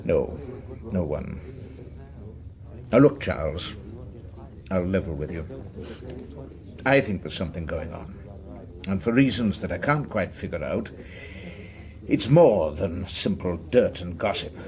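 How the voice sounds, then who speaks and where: An actor playing an anxious, ambitious government minister in a radio drama, with a British accent and a fretful, confiding manner. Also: male voice, deep voice, lively intonation, a little slow, pauses often, a little mellow. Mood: confiding, worried. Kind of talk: naturalistic radio drama acting.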